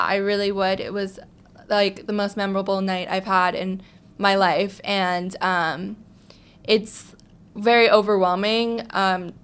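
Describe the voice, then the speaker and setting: A young woman from Hong Kong being interviewed, answering softly with frequent ums and small laughs. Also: medium pitch, clean tone. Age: young adult. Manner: soft-spoken, frequent ums and little laughs. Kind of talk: casual interview.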